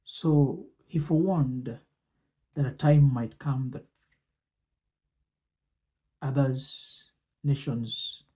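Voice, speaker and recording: Slow, measured man with a mellow voice. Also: mid-range voice, rough recording. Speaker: presenter and commentator. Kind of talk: reading speech excerpts with explanatory commentary.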